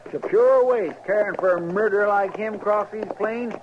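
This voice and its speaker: An actor playing a folksy, excitable frontier deputy with a rural American twang in a 1950s radio western. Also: male voice, sits high, moderate pace, lightly acted. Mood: indignant. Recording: noisy muffled 1950s radio recording.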